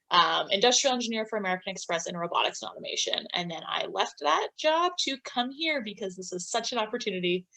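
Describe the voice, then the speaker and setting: A young woman talks as a panelist in an informal student webinar. Her voice has an average pitch for a woman, and she speaks in a conversational way. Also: a bit bright.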